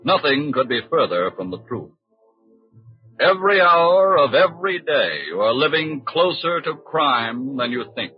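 A man narrating a 1940s radio crime drama in a grave, portentous manner with deliberate emphasis, on a vintage broadcast recording. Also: mid-range voice, sing-song, husky, muffled recording, faint music behind.

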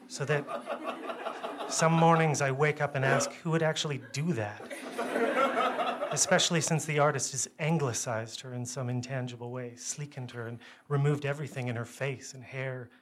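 A man reads a first-person literary story aloud, with measured phrasing and a wry, self-deprecating manner. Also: medium pitch, fairly even intonation, crowd noise.

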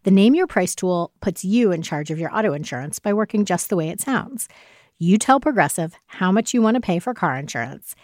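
A woman's voice: mid-range voice, expressive, fairly quick, darkish tone, no room sound, studio quality. A woman reading a scripted podcast advertisement in a conversational style, addressing listeners directly. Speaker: podcast ad reader.

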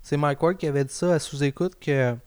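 A man's voice: a little high, steady tone, fairly quick, a little mellow, clean tone, no echo. A young man with a Quebec French accent, a podcast guest telling stories in slangy, swearing, self-mocking talk. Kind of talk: casual chat.

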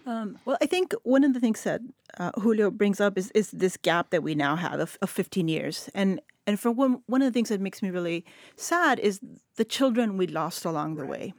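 A middle-aged woman from West Texas, a Spanish native speaker, talks as a panel guest in an earnest, reflective way. Her sentences run long and flow steadily, at a middle pitch. The recording is close and dry, with no room sound. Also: expressive, normal speed, darkish tone, dry recording. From El Paso, West Texas. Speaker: literature professor, guest. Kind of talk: panel discussion.

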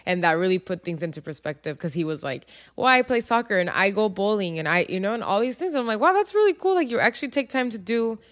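A woman's voice: medium pitch, lively intonation, fast, hardly any pauses. A woman co-hosting a podcast, talking in a chatty, informal and reflective way. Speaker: podcast co-host. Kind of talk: casual podcast conversation.

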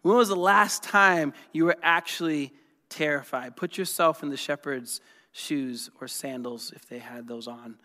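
A man in his thirties preaches with a bright, ringing voice pitched a little high for a man. He talks to the congregation casually, firing questions at them. The sound carries the long reverb of a large hall. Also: unhurried. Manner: energetic, conversational, asks the crowd questions. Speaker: pastor. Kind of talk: sermon.

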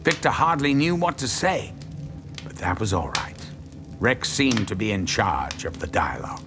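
A man's voice: lowish voice, big pitch swings, moderate pace. A man playing the storyteller of a suspenseful horror audio drama in scripted dramatic narration. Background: music.

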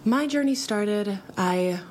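A young adult woman, a musician guest on a radio talk show, telling her story in an animated, laughing way. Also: mid-range voice, takes it slowly, a little hiss or hum. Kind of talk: casual radio interview.

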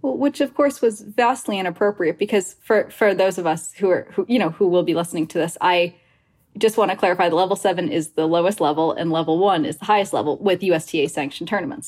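A woman's voice: lowish voice, fast talker, darkish tone, breathy edge. A woman hosting a podcast, reading a scripted intro and then chatting casually with her guest. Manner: chatty, retells hearsay with quoted asides. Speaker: podcast host.

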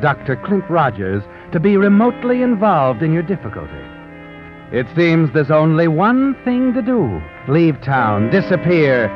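Sardonic radio mystery narrator, swooping delivery. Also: male voice, medium pitch, lo-fi sound. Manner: dramatic second-person address, needling rhetorical questions. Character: sardonic, omniscient male narrator taunting the story's guilty heroine. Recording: vintage 1940s radio with music behind.

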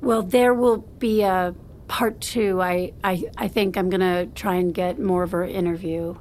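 A woman's voice: lowish voice, fairly even intonation, a little slow, darkish tone, a little hiss or hum. A woman acting in a naturalistic audio drama as a guarded, secretive businesswoman, with clipped, hesitant delivery. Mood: guarded, awkward.

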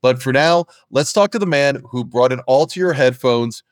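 A man's voice: mid-range voice, moderate pace, no echo, studio quality. A man hosting a podcast, talking in a casual, upbeat interview style. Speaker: podcast host interviewing a guest.

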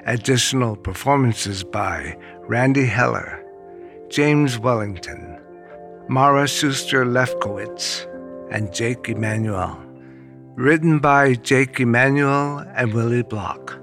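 Measured man announcer, unhurried pace. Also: medium pitch, over music. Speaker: credits announcer. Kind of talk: scripted credits read.

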